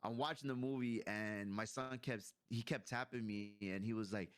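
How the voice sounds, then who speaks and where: A man's voice: on the low side, steady tone, normal speed, clean tone, no room sound, studio quality. A man, probably in his thirties, chatting casually and enthusiastically as he hosts a movie review, using plenty of slang.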